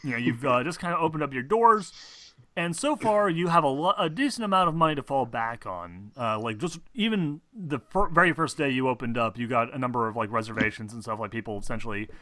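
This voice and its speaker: A man narrating a tabletop roleplaying game as game master, in an informal, halting, sweary way. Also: medium pitch, lively intonation, sharp tone, dry recording.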